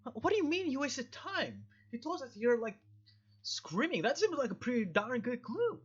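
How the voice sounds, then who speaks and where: A man voicing a brisk, bossy young policewoman in visual novel dialogue, insistent in manner. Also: sing-song, breathy edge, dull audio, exaggerated voice. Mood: indignant. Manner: high-pitched, insistent.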